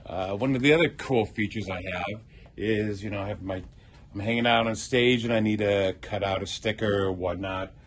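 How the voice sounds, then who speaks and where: A man's voice: on the low side, normal speed, a little hiss or hum. A middle-aged man giving a casual, rambling tour of his prop box in a theater prop shop, listing tools with many ums and uhs. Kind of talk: casual show-and-tell tour.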